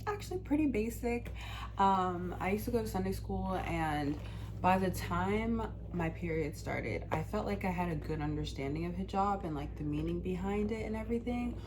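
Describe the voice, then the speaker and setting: A young woman in her twenties, from Washington, D.C., talking straight to her camera in a relaxed, candid vlog. Her voice sits a little on the low side and she rambles with lots of "like" and "um", with a faint hiss behind her. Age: 20s. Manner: candid, chatty, many fillers like "um" and "like". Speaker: vlogger. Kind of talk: casual personal storytelling.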